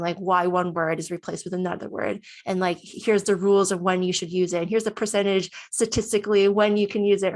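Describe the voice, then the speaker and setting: A woman in her thirties speaks casually, as if recording a video testimonial. Her voice sits at a medium pitch. She is chatty and expressive, putting her own thoughts into words as she goes. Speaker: student giving a testimonial.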